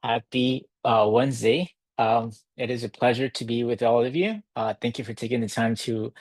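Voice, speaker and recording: Man, from Ecuador, online workshop host, relaxed, halting, filler words, mid pitch, dry close sound. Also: no echo. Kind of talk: live virtual workshop demo. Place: home in a city. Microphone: computer mic on a video call.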